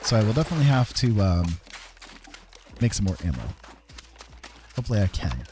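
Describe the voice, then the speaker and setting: Guy streaming a game, kinda low voice, rambling to himself, noisy game sounds behind. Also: very animated, fairly quick. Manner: rambling, musing, self-talk. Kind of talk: casual live gameplay commentary, thinking aloud. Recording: clear background game noise. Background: video game sounds.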